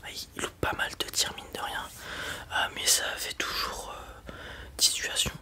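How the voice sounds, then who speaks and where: A man playing a blunt, casual space robot merchant in a whispered ASMR roleplay, muttering hesitantly in a breathy voice. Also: unhurried, pushed and bright. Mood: businesslike, wary. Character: gruff, casual male space robot merchant, wary but kind.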